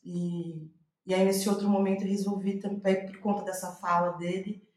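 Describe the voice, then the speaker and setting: A woman's voice: mid-range voice, level tone, moderate pace, darkish tone. Brazilian woman reflecting in a roomy echo. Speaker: guest playwright. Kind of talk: reflective interview conversation. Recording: roomy, echoing voice recording.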